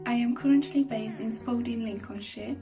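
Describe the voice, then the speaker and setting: A woman's voice: a little high, normal speed. A woman in her twenties from Poland giving a prepared self-introduction as a contestant. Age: 20s. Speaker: pageant contestant. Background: music with plucked guitar.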